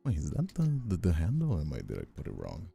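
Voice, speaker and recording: A man's voice: low-pitched, huge pitch swings, fast talker, gravelly, warm tone, husky. A man chatting casually on a live stream as its host, affectionate with his viewers, with a flirty touch. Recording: faint music behind the voice.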